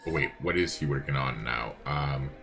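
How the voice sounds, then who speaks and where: A man's voice: a bass voice, normal speed, music in the background. A man giving casual, chatty live game commentary as a co-caster, rambling as he works things out. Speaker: esports-style co-caster and streamer.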